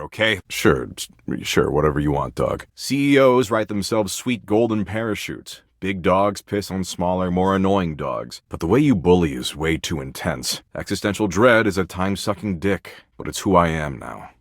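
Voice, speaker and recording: A man voicing a video game character, a sardonic, nihilistic embodiment of existential dread with a deadpan, jaded slacker drawl. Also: deep voice, no echo, clean studio sound. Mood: bitter, dismissive, then sullen, cynical. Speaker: voice actor in a dating simulator game.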